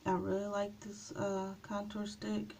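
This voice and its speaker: A woman chatting casually through a makeup tutorial, her voice slightly raspy from a coming cold, unhurried and trailing off with small pauses. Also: mid-range voice, completely flat delivery, warm tone, slight background noise. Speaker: beauty vlogger.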